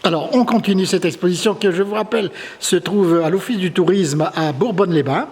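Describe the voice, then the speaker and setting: Interviewer, man with a high voice, formal questions, long reverb in the room. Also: a little fast, darkish tone. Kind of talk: interview guiding an exhibition visit. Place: tourist office exhibition hall. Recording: reverberant hall with faint ambience.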